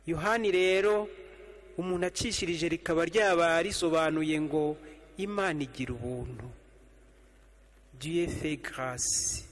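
A middle-aged priest with a Rwandan accent preaches from behind the altar into a stand microphone. His voice sits a little high for a man. His measured delivery returns again and again to slow, repeated refrains, and long church reverb trails every phrase. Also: slight background noise. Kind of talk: homily at evening Mass. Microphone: stand mic on the altar, fixed camera.

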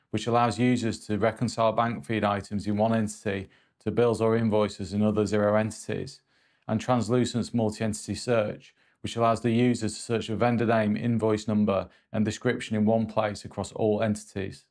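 Low-pitched man presenting a scripted review. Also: a little mellow.

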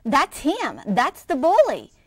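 A woman voicing a frightened young boy raccoon cub from a storybook, pleading in a high childlike voice. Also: big pitch swings, a little slow, no room sound.

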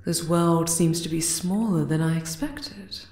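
A woman voicing a regal, possessive yet tender spider queen in an ASMR roleplay, soft and deliberate in manner. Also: lowish voice, natural voice. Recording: roomy, echoing cave-like reverb.

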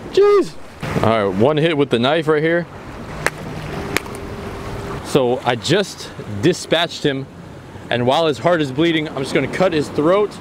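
A young man with a fairly high voice talks through his fishing trip on a rocky sea jetty. He explains his gear in a relaxed way and bursts into loud exclamations when a fish hits. The action camera picks up clear wind and water noise around him. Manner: excitable, exclaims loudly when fish bite. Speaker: fishing vlogger. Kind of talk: casual solo vlog narration. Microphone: action camera, near and far.

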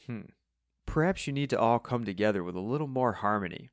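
A man voicing a reserved older pianist, polite and measured, in an audiobook. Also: medium pitch, animated, no room sound, studio quality, natural voice. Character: reserved, courteous old male pianist.

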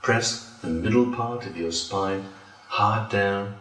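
A man leading a guided relaxation as a yoga instructor, speaking deliberately with pauses between phrases. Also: lowish voice, fairly bright tone, faint music behind. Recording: roomy echo, distant from mic.

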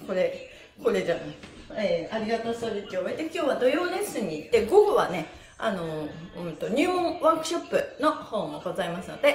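A middle-aged woman leading a live-streamed exercise class in a cheerful, encouraging way, exclaiming as she instructs. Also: on the high side. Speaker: stretch instructor and pantomime teacher. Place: small bare room indoors with wooden floor. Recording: roomy, echoey indoor sound.

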